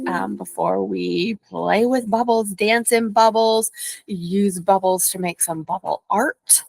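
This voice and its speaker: A woman's voice: medium pitch, vocal fry, no echo. A woman preschool teacher giving an informal, explanatory talk recommending picture books. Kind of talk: casual book recommendation talk.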